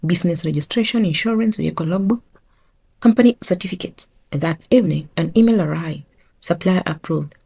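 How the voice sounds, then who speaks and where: Woman, audio drama narrator, raspy timbre, noticeable vocal fry, dramatic scripted reading. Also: fairly low pitch, fairly quick, warm tone.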